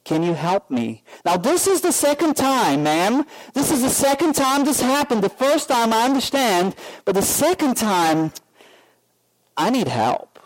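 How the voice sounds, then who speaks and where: A pastor delivers his sermon in a church. His voice sits high for a man, and he talks slowly, with many pauses and fillers as he tells a personal story. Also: very animated, low-quality recording.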